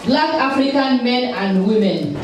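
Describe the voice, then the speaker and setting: A woman's voice: fairly high pitch, lively intonation, slow pace, background noise. A woman with a Nigerian accent from Nigeria, giving an emphatic health talk with slides and stressing her figures. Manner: emphatic, repeats key figures for her interpreter. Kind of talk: presentation with slides. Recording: echoey hall, distant mic, crowd.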